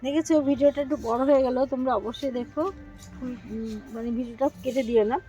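High-pitched woman vlogger. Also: fairly even intonation, fast, dark tone, soft background music, poor audio. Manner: effusive, repetitive, showing things to viewers. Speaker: vlogger and host of own page. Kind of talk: casual home garden vlog, chatting to viewers. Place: outdoors in a home garden.

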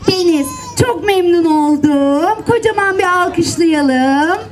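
Very high-pitched, unhurried woman storyteller. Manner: playful, addressing children directly. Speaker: children's storyteller. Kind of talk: live fairy-tale telling to children, interactive. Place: outdoors, seaside public event. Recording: outdoor event with crowd and background noise.

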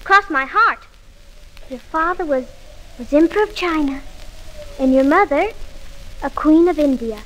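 A woman's voice: sits high, a little slow, pauses often, fairly bright tone, rough recording. A female performer playing an imaginative, coaxing, devoted little girl in a 1940s radio drama. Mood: coaxing, earnest. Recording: faint noise on old radio recording.

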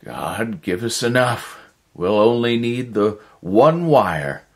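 Man performing desperate male scientist, sci-fi storybook, raised pitch, forceful, exclamatory, urgent. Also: sing-song, slow pace, exaggerated voice.

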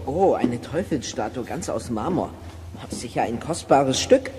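A man's voice: mid-range voice, animated, a little slow, lightly acted. An actor playing a curious, cheeky, articulate boy detective in a children's radio play. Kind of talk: German audio drama acting. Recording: clearly noisy background.

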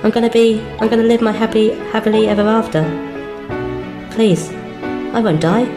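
A woman's voice: on the high side, close to an everyday voice. Woman voicing a frightened young boy, echoey. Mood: desperate, pleading. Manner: halting, pleading, repeating words. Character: frightened, hurt young boy trapped in darkness. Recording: echoey, music behind the voice.

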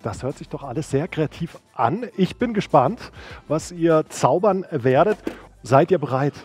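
A man's voice: a little high, lively intonation, a little slow, darkish tone, husky, soft background music. A man in his thirties presenting and interviewing at an event, speaking into a headset mic in a sports hall. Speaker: host and interviewer. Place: large indoor sports hall.

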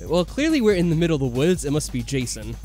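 A man's voice: on the high side, very animated, fast, a little mellow. A man giving casual, jokey gameplay commentary and reading dialogue aloud in a musing way. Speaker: let's play host. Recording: music under the voice. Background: game music.

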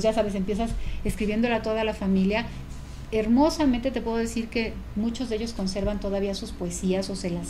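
A woman's voice: medium pitch, dark tone, slight background noise, low-quality recording. A middle-aged Mexican woman from Veracruz, an author chatting about her writing in a small studio on a lapel mic. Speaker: author guest. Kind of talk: conversational interview. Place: small indoor TV studio set.

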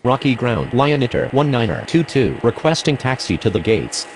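A man's voice: medium pitch, very animated, normal speed, vocal fry. An actor playing a matter-of-fact male airline radio speaker in a game, in clipped aviation phrases. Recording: faint vehicle and ambient noise.

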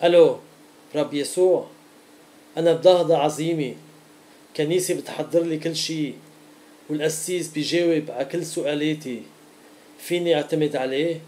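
Mellow Levantine man, slightly high voice. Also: normal speed, long pauses, poor audio. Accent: Levantine Arabic. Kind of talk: informal devotional teaching to camera.

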